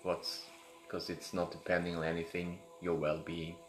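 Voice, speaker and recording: Low, monotone man guiding meditation. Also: soft background music. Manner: halting, repeats words, drawn-out phrasing. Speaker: meditation guide, host of a live session. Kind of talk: guided meditation, improvised and unscripted. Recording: echoey room, clear background noise.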